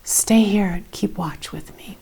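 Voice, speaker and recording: A woman voicing a sorrowful, resolute Jesus in a dramatized scripture reading, solemn, measured and often hushed. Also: medium pitch, creaky, slightly rough, full character voice, low-quality recording. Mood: anguished, hushed.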